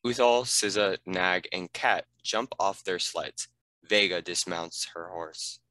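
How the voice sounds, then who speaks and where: A man narrates stage directions from a script. His voice is fairly low yet bright and crisp, captured close in a dry space. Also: takes it slowly, dry recording, low-quality recording.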